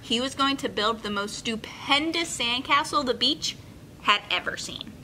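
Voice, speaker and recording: A young woman hosting a children's storytime, speaking in an expressive, encouraging way as she reads and instructs. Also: a little slow, low-quality recording. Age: young adult. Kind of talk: picture-book reading and friendly instruction for children. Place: indoor children's room with carpeted steps. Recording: clear room noise, low rumble.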